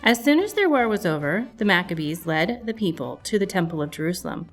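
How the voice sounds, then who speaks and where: A woman's voice: lowish voice, very animated, a little fast. A woman reading a scripted storybook narration for children. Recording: music playing behind the voice. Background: music.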